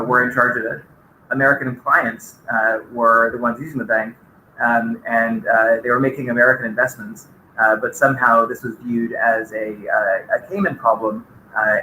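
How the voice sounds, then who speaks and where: A middle-aged man from the Bahamas giving a conference talk, pausing often with many filler sounds. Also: medium pitch, pushed and bright, echoey room, a little hiss or hum, poor audio. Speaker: conference speaker.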